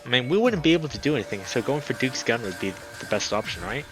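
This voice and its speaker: A man streaming a let's play, reading a visual novel aloud in a dramatic, excitable way, sometimes stumbling over words. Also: medium pitch, fast, low-quality recording. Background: background music.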